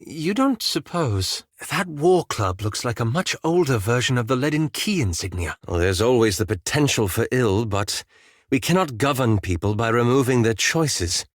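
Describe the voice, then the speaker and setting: A man's voice: mid-range voice, animated, moderate pace, no echo, clean studio sound, lightly acted. An actor playing a courteous, wry and anxious scholarly male elf companion in a fantasy video game, with formal, precise enunciation. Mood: thoughtful.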